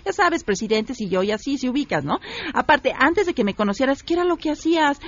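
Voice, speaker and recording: High rapid-fire posh young woman, Mexico City accent, airheaded gossip, valley-girl drawl, a woman acting it out, lo-fi radio. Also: no room sound. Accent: Mexico City posh slang. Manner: chatty valley-girl drawl peppered with English catchwords. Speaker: comic character on a radio show.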